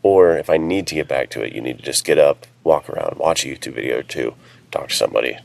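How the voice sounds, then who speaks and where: A man's voice: very deep voice, fast, gravelly. A man chatting casually as a podcast co-host and writer, giving practical advice in informal language.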